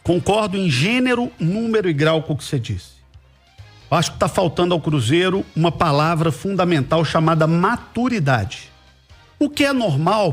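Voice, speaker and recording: Emphatic high-voiced male sports commentator. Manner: emphatic, repeats points for weight.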